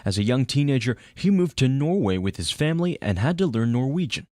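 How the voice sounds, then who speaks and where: A young man narrating sports news packages and reading a sportscast in a steady scripted delivery. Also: mid-range voice, fairly quick. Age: young adult. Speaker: student sports reporter.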